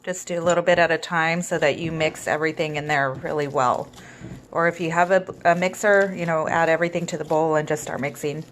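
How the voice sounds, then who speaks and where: A woman with a cold and a raspy voice, giving relaxed step-by-step instructions in a casual cooking tutorial. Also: deep voice, brisk, slight background noise. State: hoarse with a cold. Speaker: home cook.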